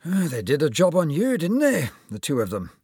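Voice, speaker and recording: Storybook role: older Scottish surgeon played by a male reader, avuncular, raised lively voice, husky edge. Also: on the high side, normal speed, no room sound, clean studio sound, pitched above the performer's own voice, exaggerated voice. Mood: brisk, sympathetic. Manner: avuncular, encouraging.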